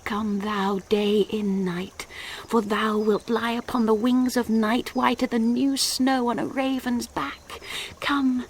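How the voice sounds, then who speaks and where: An actress with an English accent playing a passionate, impatient young noblewoman in a classical radio drama, speaking breathy, intense verse. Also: fairly high pitch. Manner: poetic, breathy, intense declamation. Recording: noisy 1990s radio drama recording.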